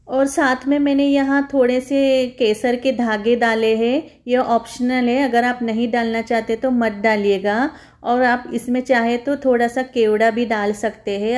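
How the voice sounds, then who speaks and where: A woman's voice: on the high side, a little mellow. A woman home cook giving a step-by-step recipe voice-over, instructing viewers in a friendly, familiar form of address.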